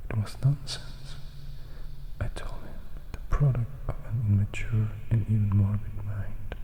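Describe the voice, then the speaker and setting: A man voicing a brooding, regretful vampire who recounts his past in a hushed, measured ASMR whisper. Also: lowish voice, muffled recording. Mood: bitter, contemptuous. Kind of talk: whispered ASMR audiobook acting.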